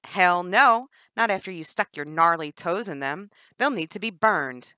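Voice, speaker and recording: A stubborn captive woman spitting out scornful retorts, voiced by a woman reading a storybook. The low voice has a bright, forceful edge in a dry recording. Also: lively intonation, a little slow, no echo. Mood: defiant. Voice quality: sharp and scornful.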